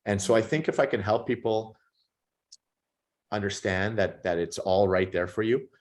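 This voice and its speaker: A man in his thirties answering interview questions in a relaxed, reflective way, often repeating words as he gathers his thoughts. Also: lowish voice, normal speed. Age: 30s. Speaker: podcast guest.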